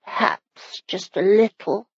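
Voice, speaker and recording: Woman acting a husky, pleading young medieval wife. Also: medium pitch, slow, dry recording, muffled recording, pitched above the performer's own voice, full character voice. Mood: timid, defensive.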